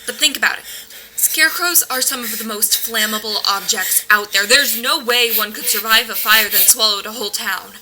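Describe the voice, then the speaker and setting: A woman's voice: on the high side, moderate pace, noisy background, lightly acted. A woman playing a skeptical, wry paranormal investigator in a naturalistic audio drama, with a dry, joking manner. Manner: dry, joking, matter-of-fact.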